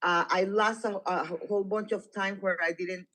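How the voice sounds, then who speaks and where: High-pitched male caller, 60s, from Costa Rica; informal, halting, rambling delivery. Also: fairly even intonation, bright, forceful tone. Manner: repetitive, rambling, hesitant speech. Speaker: caller seeking financial help. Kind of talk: halting, informal personal account.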